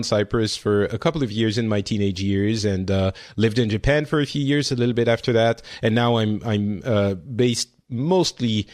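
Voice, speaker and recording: A man in his forties from France, hosting a casual podcast discussion in a chatty, self-interrupting way. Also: mid-range voice. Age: 40s. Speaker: podcast host.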